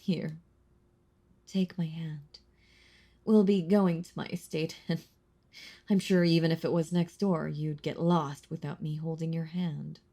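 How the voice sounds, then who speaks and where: Woman acting a husky, low, possessive vampire. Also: steady tone. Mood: smug, possessive. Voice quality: husky, breathy, slipping into whispers. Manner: slow, condescending, teasing, softly chuckling. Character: possessive, teasing, menacing female vampire, centuries old, obsessed. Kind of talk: intimate audio roleplay monologue addressed to listener.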